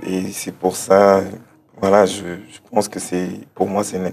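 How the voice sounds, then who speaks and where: Very deep, flat-voiced man, hesitant. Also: unhurried, slight background noise. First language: German-speaking background. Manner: hesitant, repeats words, thinks aloud. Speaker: interview guest, start-up founder. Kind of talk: unscripted interview answers.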